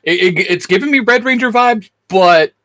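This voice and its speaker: A man's voice: sits high, expressive, normal speed, pushed and bright, no room sound, clean studio sound. A young man hosting a YouTube channel in casual, slangy chat.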